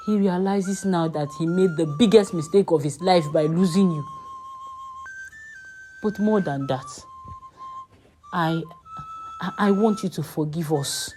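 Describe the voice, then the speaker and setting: A woman voicing a remorseful old mother-in-law in a storybook tale, pleading in halting, repeated phrases with a cracking, hushed voice. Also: fairly low pitch, faint music behind, close to an everyday voice. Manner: halting, repeating words in apology.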